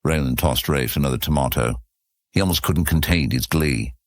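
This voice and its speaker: A man's voice: extremely low pitch, normal speed, no echo, studio quality. A man narrating a scripted fantasy story as the narrator of an audio serial.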